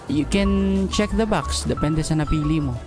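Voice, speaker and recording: An actor playing a gentle male boss who is in love, speaking softly and earnestly in an audio drama. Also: medium pitch, lively intonation, unhurried. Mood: tender. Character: gentle smitten male boss, secretly playful. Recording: music bed under voice. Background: romantic background music.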